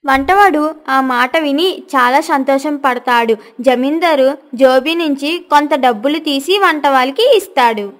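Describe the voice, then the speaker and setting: A woman with a high voice narrates a children's story. She reads from a script, as a storyteller presenting a moral tale. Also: expressive, measured pace.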